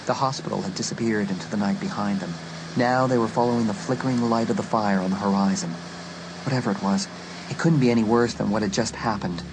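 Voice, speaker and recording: A man narrating a dramatised horror audiobook with suspenseful, deliberate phrasing. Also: lowish voice, background noise.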